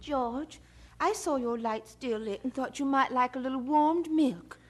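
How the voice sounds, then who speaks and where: An actress playing a kindly, solicitous married woman in an audio drama, with a husky, breathy voice and gentle, motherly manner. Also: high voice, unhurried, background noise.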